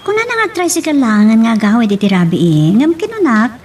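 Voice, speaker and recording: A sweet ilocano papa's girl, high voice, very expressive, radio drama. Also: brisk, a little hiss or hum. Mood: cheerful. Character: sweet, affectionate young daughter.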